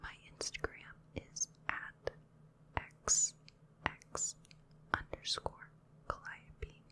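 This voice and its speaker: A woman speaking in a soft whisper as an ASMR creator addressing her viewers. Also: drawn-out words.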